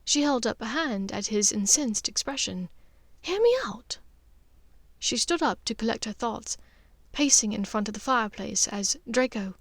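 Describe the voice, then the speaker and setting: A woman's voice: fairly high pitch, no room sound. A woman reading scripted fiction as an audiobook narrator.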